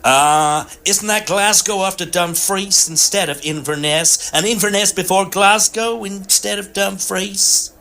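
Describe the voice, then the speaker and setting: An actor playing a fussy, formal Victorian assistant in a comic audio drama, with a precise, officious way of talking. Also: male voice, sits high, unhurried, hardly any pauses, pushed and bright, heavily acted. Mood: fussy, anxious. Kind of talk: period comedy audio drama acting.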